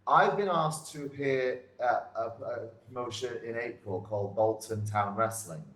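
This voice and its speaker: A man's voice: mid-range voice, normal speed, a bit bright. A man from Salford with a northern English accent chats casually as an interview guest, often repeating himself and leaning on filler phrases. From Salford, north-west England. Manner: repetitive, punctuated with "you know". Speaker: interview guest, independent wrestler. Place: indoors in a pub. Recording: roomy, echoing pub interior.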